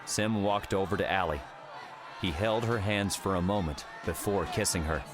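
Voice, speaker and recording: A man's voice: low voice, moderate pace, crowd noise. A man narrating a fiction audiobook in a dramatic, scripted style. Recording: background music under the voice.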